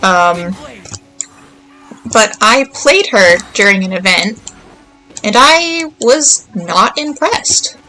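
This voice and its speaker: A woman's voice: medium pitch, expressive, very slow, pauses often, sharp tone, faint music behind, low-quality recording. A woman chatting casually as she streams a game, rambling and thinking aloud. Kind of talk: casual livestream chat while playing.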